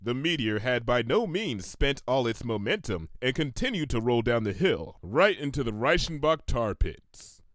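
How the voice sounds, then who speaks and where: Animated, theatrical male story narrator. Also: mid-range voice, normal speed, dry recording, studio quality. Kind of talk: dramatic comedic fan fiction narration.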